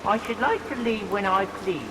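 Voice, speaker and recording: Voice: medium pitch, normal speed, natural voice. An actor playing a cheeky, chatty down-on-his-luck youth with colloquial speech in a vintage radio melodrama. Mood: wary, inquisitive. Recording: vintage radio recording, hissy rain noise.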